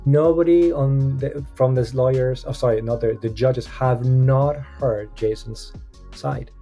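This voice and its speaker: A man from Costa Rica with a mellow, relaxed tone talks in an animated, casual way, often stumbling and restarting. He acts out the people he quotes in his own voice. Faint music runs quietly underneath. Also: mid-range voice, unhurried. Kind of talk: casual podcast discussion.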